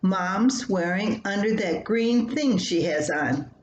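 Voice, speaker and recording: A woman's voice: medium pitch, animated, slow pace, lo-fi sound. An older woman voicing an insistent seven-year-old girl in a family story, asking demanding, exasperated questions. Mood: frustrated. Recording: roomy home recording with faint noise.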